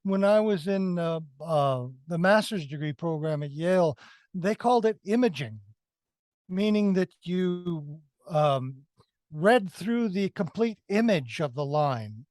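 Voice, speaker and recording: A man co-hosting a review discussion, explaining his views casually and haltingly, often repeating and correcting himself. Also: high voice, expressive, a little mellow, dry recording. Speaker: podcast co-host reviewing films.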